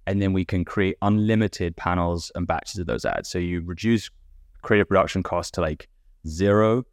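A man with a British accent, softened by years in America, explaining his startup as a podcast guest in a relaxed way, with many "like"s and "basically"s. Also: low-pitched, normal speed, dry recording, clean studio sound. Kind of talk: casual podcast interview.

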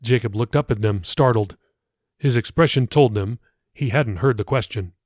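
A man's voice: medium pitch, normal speed, darkish tone, no echo, studio quality. A man reading his own novel as scripted audiobook narration for a podcast.

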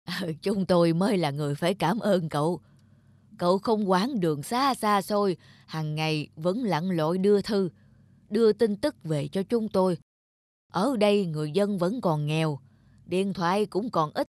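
A woman voicing a kindly, grateful old village grandmother in an animated folk tale, speaking in a homely, insistent, unhurried way. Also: lowish voice, no echo, clean studio sound, natural voice. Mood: grateful, earnest.

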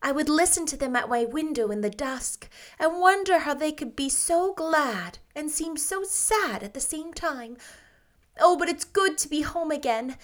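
A woman reading aloud and voicing an effusive, dreamy young woman in a lilting, gushing, high voice. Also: expressive, measured pace.

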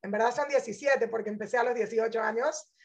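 A woman's voice: fairly high pitch, fast. A woman, teaching through personal stories in an informal religious talk, dropping in English phrases. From born in Venezuela, grew up in Panama. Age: 30s. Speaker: Torah teacher. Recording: dull lo-fi audio.

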